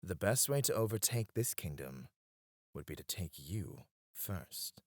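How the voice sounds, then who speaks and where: A man voices a scheming royal advisor in an ASMR roleplay, with a very low voice that still rises and falls with a lot of life. He talks softly and teasingly, close to the microphone, and sinks to a near-whisper on his titles. The sound is dry, with no room around it. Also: sing-song, measured pace, no echo, heavily acted. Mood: teasing, confiding. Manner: soft-spoken, dropping to a hush on titles; teasing and confiding. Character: scheming male royal advisor, smooth and devoted. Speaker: voice actor.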